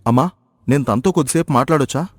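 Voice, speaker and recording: A man's voice: medium pitch, quick pace, dry recording, studio quality, close to an everyday voice. A man playing an earnest young husband and protective brother in a story, questioning and emphatic. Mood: polite, agreeable.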